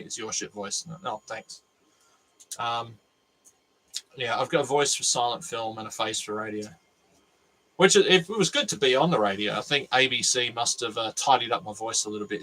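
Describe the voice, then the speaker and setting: Australian male streamer, nasal and bright-toned, chatty rambler on a cheap USB mic. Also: medium pitch, poor audio. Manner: rambling, thinking aloud with restarts. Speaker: maker livestream host. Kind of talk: casual livestream chat. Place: home workshop. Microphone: cheap USB microphone.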